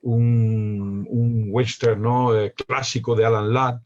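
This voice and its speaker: A man in his sixties from Spain with a Spanish accent, a poet guest on a panel, speaking in a reflective, hesitant way with many pauses. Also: mid-range voice, level tone, a little mellow, muffled recording. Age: 60s. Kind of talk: panel discussion.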